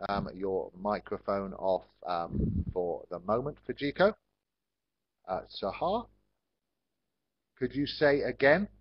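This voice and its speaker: An English man with a low voice teaches an online class at a very slow pace. He is polite and encouraging, addressing learners in simple, measured phrases, and the lo-fi call audio is very dry. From England.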